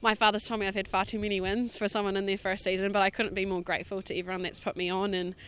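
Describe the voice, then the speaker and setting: A woman's voice: medium pitch, little pitch movement, racing, fairly bright tone, clean tone. A young woman in her twenties with a New Zealand accent, a junior harness driver giving upbeat, modest interview answers with plenty of ums. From Southland, New Zealand.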